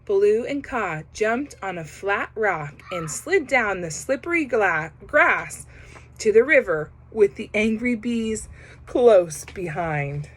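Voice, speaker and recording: A woman reading a children's picture book aloud, pausing to comment on the pictures. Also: mid-range voice, expressive. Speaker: storybook reader.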